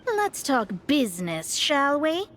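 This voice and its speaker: High, theatrical money-minded negotiator. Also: female voice, sing-song, slow, a bit bright, a little hiss or hum, heavily acted. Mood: haggling. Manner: theatrical, self-assured.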